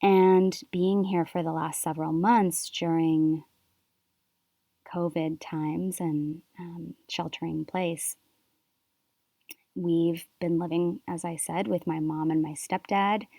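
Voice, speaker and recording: A woman from Canada hosting her own podcast, speaking softly and thoughtfully in a reflective solo monologue with unhurried pauses. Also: on the low side, fairly even intonation, gravelly, dry recording. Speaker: podcast host.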